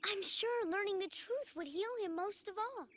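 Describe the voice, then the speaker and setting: A woman playing a spirited, headstrong young girl in an animated drama, blunt, bossy and emphatic. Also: very high-pitched, moderate pace, a little hiss or hum. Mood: earnest.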